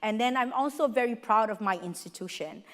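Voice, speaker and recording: A woman with a Samoan accent, whose first language is Samoan, giving a warm and humorous welcome speech as a faculty member at a conference. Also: on the high side. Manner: warm, heartfelt and humorous, with lists spoken slowly and deliberately. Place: large conference hall. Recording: hall with long reverb.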